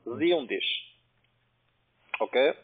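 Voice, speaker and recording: A man with a foreign accent working as a call-centre agent, speaking in halting, choppy phrases. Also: mid-range voice, expressive, breathy edge. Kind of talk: halting sales phone call. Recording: tinny narrow-band phone line.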